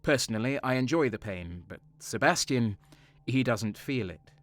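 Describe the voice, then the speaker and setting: A man's voice: mid-range voice, animated, normal speed, no echo, close to an everyday voice. An actor playing a cynical, cold and sadistic soldier who narrates his crimes in a brooding, sardonic way in an audio drama. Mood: brooding, bitter.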